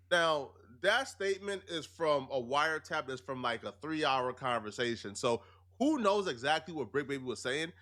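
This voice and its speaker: A man's voice: medium pitch, fairly bright tone. A man giving explanatory commentary in an emphatic, rhetorical way with streetwise phrasing.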